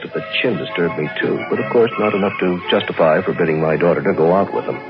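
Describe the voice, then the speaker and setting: A dull vintage radio recording with music behind presents a suspicious, protective American father. He is middle-aged, dryly wry and formal, with a low voice that swings expressively, as in 1960s radio drama. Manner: dry, wry, formal wording. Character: stern, protective, suspicious middle-aged father narrating his own story. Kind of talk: 1960s radio drama acting with first-person narration.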